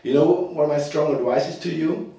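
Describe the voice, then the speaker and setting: A man's voice: a little high, moderate pace, gravelly, mellow. A man performing a monologue as a chatty, self-assured young working man full of eager advice and ambition. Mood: earnest advising. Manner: eager, talkative, advising. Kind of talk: theatrical monologue. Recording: very echoey room, distant mic.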